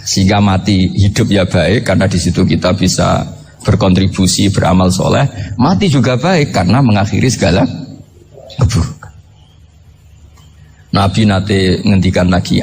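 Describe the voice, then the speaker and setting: A man's voice: on the low side, darkish tone, slight background noise. A man with a Javanese Indonesian accent giving an informal Islamic lecture, conversational, putting rhetorical questions to his listeners and mixing in Arabic quotations. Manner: mixes Arabic quotations and regional words into speech. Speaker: Islamic preacher. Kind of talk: informal religious lecture to an audience.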